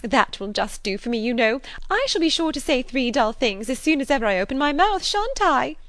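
A woman's voice: high voice, sing-song, fairly quick, fairly bright tone, no room sound, voice pushed up. A woman voicing a good-humoured, garrulous spinster, eager and self-deprecating and easily hurt, in an audiobook novel. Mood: eager, chattering.

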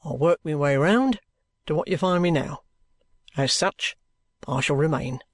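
A man's voice: on the high side, unhurried, no echo. A man playing a blunt, courteous, stubbornly resigned trooper in a dramatized classic novel, speaking plainly and formally. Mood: resigned, dignified.

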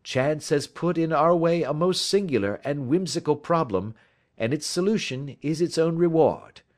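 Brief: male reader as a cool-headed detective, dry and deliberate. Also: fairly high pitch, lively intonation, darkish tone, close to an everyday voice. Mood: measured. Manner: measured, dry and authoritative. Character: cool, reasoning male detective, middle-aged. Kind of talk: audiobook reading.

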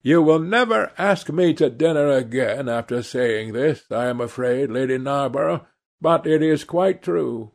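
A man's voice: mid-range voice, expressive, unhurried, gravelly, pitched above the performer's own voice. A man voicing a witty, cynical aristocrat in a storybook reading, languid and epigrammatic. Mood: wry.

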